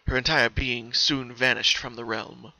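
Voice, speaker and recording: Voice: mid-range voice, fairly even intonation, measured pace, creaky, bright, forceful tone, no echo, rough recording. A narrator giving a scripted dramatic reading of a fan story. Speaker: narrator, fan fiction reader.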